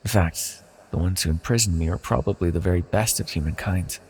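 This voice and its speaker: Man playing a gravelly, echoing banished villain god. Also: sits low. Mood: wistful, guarded. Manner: slow, formal, measured, confessional. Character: banished male villain deity, ancient, proud, wistful, tenderly smitten. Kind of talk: scripted fantasy audio roleplay, intimate monologue. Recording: long reverberant hall echo.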